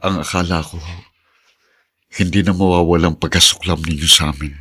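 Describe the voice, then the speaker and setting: An actor playing a humble, forgiving younger family man in a Filipino radio drama, husky, polite and deferential. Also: sits low, steady tone, moderate pace, no room sound, studio quality, full character voice. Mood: humble, sincere. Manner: polite, gentle, deferential phrasing.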